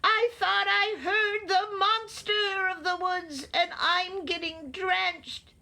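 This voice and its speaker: A woman voicing a timid, excitable little male rabbit in a storybook, with a frightened manner. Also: very high voice, slow, gravelly, voice pushed up, full character voice. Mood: urgent, frightened.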